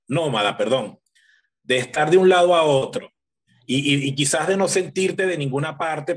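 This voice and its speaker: A man hosting an interview podcast, asking questions in a relaxed, conversational way. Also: on the high side, husky. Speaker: podcast host.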